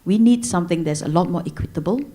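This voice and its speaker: A woman on a panel, forceful and repetitive in her declarations. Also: fairly low pitch, fast talker. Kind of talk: panel talk.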